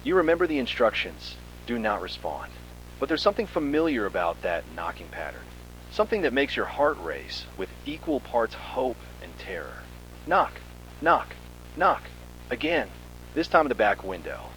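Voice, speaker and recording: A man's voice: medium pitch, big pitch swings, moderate pace, a bit bright, slight background noise, low-quality recording. A man reading a scripted second-person horror story in a dramatic, suspenseful manner.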